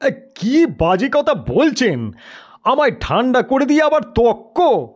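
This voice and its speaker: A man voicing an irritable, blustering uncle, loud and indignant, in an audio story. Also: very high-pitched, sing-song, slow, pitched above the performer's own voice, full character voice. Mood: quarrelsome. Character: irritable, blustering uncle squabbling with fellow passengers.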